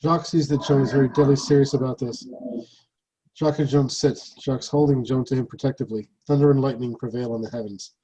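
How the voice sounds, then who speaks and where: A man with a husky voice reading out scripted stage directions as narrator of a table read. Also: mid-range voice, fairly even intonation, quick pace, mellow, rough recording.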